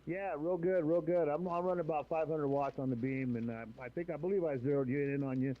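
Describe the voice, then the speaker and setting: A man's voice: fairly high pitch, fairly quick. A man chatting as a ham radio operator, upbeat and friendly, repeating his words. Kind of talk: radio conversation. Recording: dull radio signal with static.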